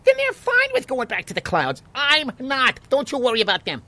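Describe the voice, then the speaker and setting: A man's voice: very high voice, huge pitch swings, moderate pace, sharp tone, heavily acted. A man playing a restless, self-important little water molecule in a children's radio play, complaining and urging. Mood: bossy, eager. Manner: eager, complaining, urgent.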